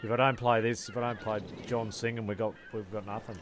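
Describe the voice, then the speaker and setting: A man's voice: fairly low pitch, flat delivery, fast talker, noisy background, lightly acted. A man playing a laid-back castaway, the dry, matey straight man of a comedy sketch. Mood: dry, casual. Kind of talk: conversational scripted comedy.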